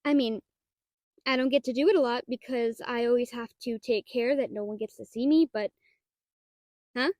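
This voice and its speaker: A woman's voice: a little high, fairly even intonation, normal speed, long pauses, darkish tone, no echo, clean studio sound, lightly acted. A woman playing a shy, nerdy half-dragon librarian in a roleplay audio drama, talking in a hesitant, flustered, stammering way. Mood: nervous. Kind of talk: roleplay audio drama, one-sided dialogue.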